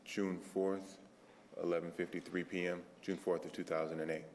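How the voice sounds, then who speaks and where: A man's voice: low-pitched, level tone, moderate pace, darkish tone, slight background noise. A man testifying as a witness in court, giving brief, clipped, matter-of-fact factual answers.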